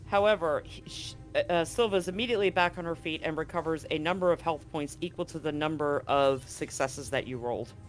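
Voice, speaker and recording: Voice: medium pitch, brisk, hardly any pauses, soft background music. A game master running a live tabletop roleplaying game, talking casually and jokily with some swearing.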